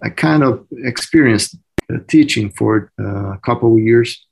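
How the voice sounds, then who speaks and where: A man in his forties with a Brazilian accent, answering interview questions in halting English with many fillers and restarts. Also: medium pitch, vocal fry, slightly rough. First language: Portuguese. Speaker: agronomist guest.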